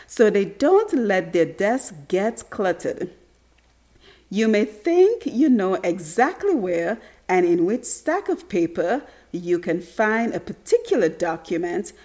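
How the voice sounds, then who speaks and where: Talk show host, woman, a bit hoarse and stuffy, animated pitch, talks directly to listeners. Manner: upbeat, earnest, speaks straight to listeners. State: congested, slightly raspy from allergies. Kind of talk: motivational talk show monologue.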